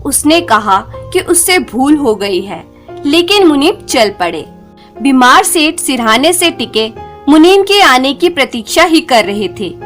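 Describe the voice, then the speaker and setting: A woman reads a scripted moral tale in a high, very lively voice. She is unhurried and expressive, letting the melody rise and fall over each sentence. Music plays clearly behind her. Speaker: storyteller. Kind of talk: scripted Hindi folk-tale narration.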